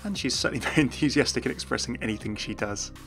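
A man's voice: mid-range voice, very fast, a bit bright, soft background music. A man giving scripted commentary on video game lore as a host.

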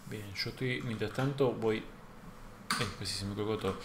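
A man, hosting a live sculpting lesson and thinking aloud as he works, with a soft voice that trails off. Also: fairly low pitch, steady tone, moderate pace, roomy sound, background noise. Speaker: host sculptor teaching.

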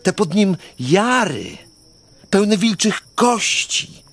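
An actor playing a florid, melancholy romantic count of fifty in a verse radio drama, speaking haltingly and poetically. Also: male voice, sits high, very animated, gravelly, fairly bright tone, full character voice. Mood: jealous, brooding.

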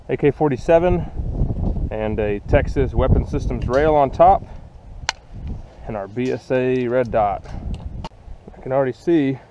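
A man hosting a casual shooting video outdoors, explaining his gear in a relaxed way with frequent ums, recorded on a head-mounted camera. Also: mid-range voice. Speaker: gun channel host. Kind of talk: casual first-person range demonstration. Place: windy open field outdoors. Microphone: head-mounted action camera. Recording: lo-fi camera mic with loud wind noise. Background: wind buffeting the mic.

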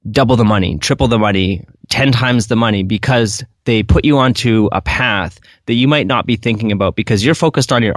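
A man's voice: lowish voice, dry recording, studio quality. A middle-aged man hosting a podcast, chatty and enthusiastic, rambling as he sets up his questions. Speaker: podcast host. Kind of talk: casual interview.

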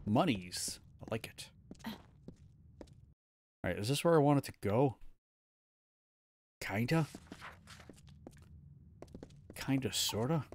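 A man's voice: medium pitch, animated, slow pace, long pauses. A man streaming a game, casually talking to himself as he explores and fights. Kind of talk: live gameplay commentary. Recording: game music behind voice.

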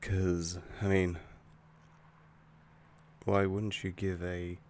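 A man acting out an affectionate young werewolf boyfriend with a short temper, made for intimate roleplay audio. His voice sits very low and crackles with fry. He talks slowly and haltingly, protective of his partner, over audible background noise.

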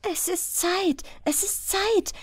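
A woman voicing a dreamy, entranced young fisherman's daughter in a fairy tale, chanting in a clear, ringing tone. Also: very high voice, slow, dry recording, pitched above the performer's own voice.

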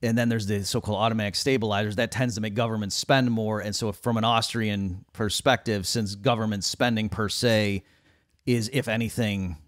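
A middle-aged man talking economics in a casual discussion, speaking from a small room into a boom-arm studio mic on a video call. He is animated and mocks the people he quotes by lifting his voice. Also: a little fast, no echo. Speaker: economist guest.

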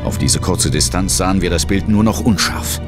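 An actor playing a resolute, dry-witted ghost hunter in an audio drama, speaking and narrating with self-assured drama. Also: male voice, low voice, normal speed, lightly acted. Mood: determined. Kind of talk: audio drama acting with first-person narration. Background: music.

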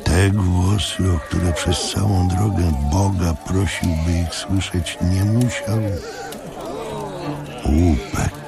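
A man reading literary fiction as a dramatic audiobook narrator, in deliberate, short broken phrases. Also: deep voice, vocal fry, over loud music.

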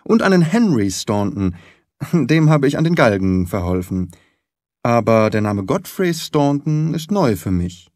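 A man's voice: medium pitch, sing-song, unhurried, darkish tone, dry recording, clean studio sound. A man voicing a cool, wry detective in an audiobook, composed and dryly amused. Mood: calm, matter-of-fact.

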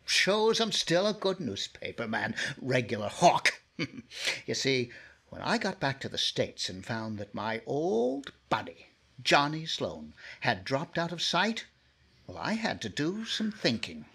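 A man's voice: medium pitch, wildly swooping pitch, measured pace, vocal fry, pushed and bright, slightly rough, full character voice. An actor playing Herb Carter, a sly, menacing man back from the dead who taunts with giggles, in 1950s-style radio drama. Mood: gloating.

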